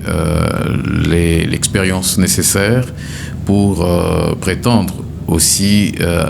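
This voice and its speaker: Deep gravelly man, slow formal answers. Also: background noise. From DR Congo, Kasai. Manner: measured, formal, many hesitations with "euh". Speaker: gubernatorial candidate. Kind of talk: TV interview answers.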